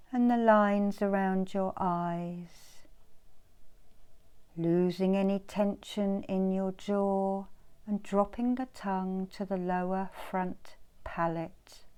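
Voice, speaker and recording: Slow, soothing woman guiding meditation. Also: medium pitch, clean tone. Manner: soothing, gentle instruction with repeated phrases and pauses. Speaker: meditation teacher leading a guided visualisation. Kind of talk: guided meditation.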